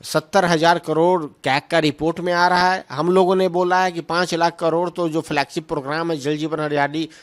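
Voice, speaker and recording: A man's voice: a little high, fairly even intonation, fairly quick, few pauses. A man speaking as a party spokesman, answering questions argumentatively with emphatic repetition and English terms mixed in. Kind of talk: political press remarks, answering questions.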